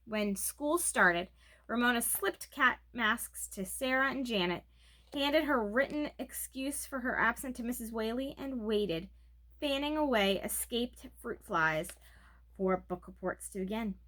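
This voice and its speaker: A woman's voice: fairly high pitch, expressive, measured pace, fairly bright tone, poor audio. A woman reading a children's book aloud as narrator. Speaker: storybook reader.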